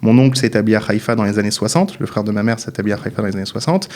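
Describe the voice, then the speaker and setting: Low-voiced man in his thirties. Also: racing, darkish tone. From France. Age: 30s. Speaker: guest. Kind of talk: interview answers.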